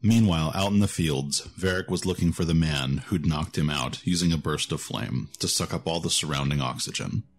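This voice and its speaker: A man's voice: a bass voice, normal speed, few pauses. A man narrating a scripted fantasy story as the narrator of an audio drama. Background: music.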